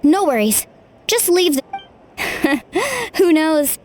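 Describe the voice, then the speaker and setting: A woman's voice: sits high, lively intonation, slow pace, darkish tone, slight background noise. A woman playing a confident, playful young fighter in a video game, with a breezy, teasing manner.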